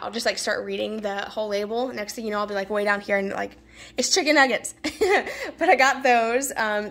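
Woman vlogger in her thirties, high-ish voice, chatty and laughing, home kitchen, faint noise behind. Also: fairly bright tone. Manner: chatty, laughs often, talks to viewers as y'all. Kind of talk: casual grocery haul chat.

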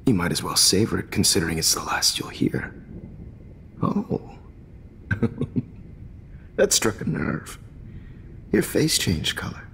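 An American man acting a smug, menacing, pretentious photography teacher turned captor in a video game, speaking with deliberate dramatic pauses. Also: sits low, wildly swooping pitch, vocal fry, fairly bright tone, heavily acted. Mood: taunting. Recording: long echoey reverb, faint hiss.

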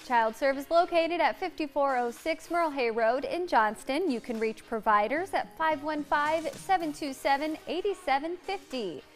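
High-pitched American woman TV host. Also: moderate pace, few pauses, soft background music. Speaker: TV lifestyle show host. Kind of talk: scripted presenting and friendly interviewing.

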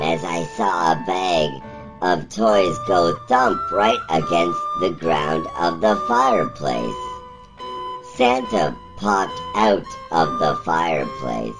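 E.T., gravelly swooping alien storyteller. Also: male voice, full character voice, low-quality recording. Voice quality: croaky, gravelly, breaking into squeaks. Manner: slow, halting, childlike storytelling with swooping pitch. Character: E.T., small gentle wide-eyed alien reading a storybook. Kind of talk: storybook reading in a character voice. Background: instrumental music.

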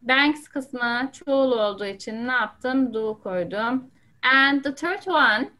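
A woman's voice: high-pitched, expressive, slow pace, sharp tone, a little hiss or hum. A woman with a Turkish accent teaching an English class over a video call, patiently calling on students.

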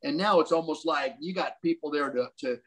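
An older man talks from a room at home over a webcam. His voice sits fairly high, and he muses aloud, circling back and restarting as he thinks. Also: a little fast, a bit bright. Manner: thoughtful, musing, many restarts. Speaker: co-host discussing Bible prophecy. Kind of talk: casual video call discussion. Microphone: video call webcam.

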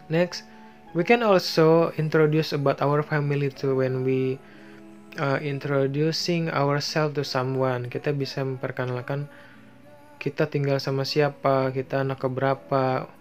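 A man with an Indonesian accent teaching basic English phrases over slides, reading each phrase and translating it, with frequent fillers. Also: mid-range voice. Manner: slow, explains each phrase then translates it, with many fillers. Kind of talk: bilingual lesson over slides.

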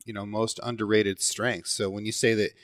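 Low-voiced male podcast host. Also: flat delivery, normal speed, dry recording, studio quality. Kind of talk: podcast interview.

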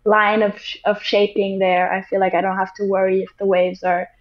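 Soft-spoken young woman on a dull call. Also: medium pitch, level tone, moderate pace. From Portugal. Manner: soft-spoken, hesitant with many fillers. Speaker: guest, pro surfer. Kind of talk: casual interview over video call. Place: small room indoors. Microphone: laptop or webcam mic on a video call. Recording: dull, lo-fi video call audio.

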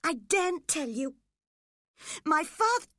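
A woman's voice: sits high, very animated, takes it slowly, a bit bright, no room sound, studio quality, pitched above the performer's own voice, full character voice. A woman voicing a frightened, sickly teenage boy in an audiobook story, sobbing and pleading. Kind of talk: dramatised audiobook reading.

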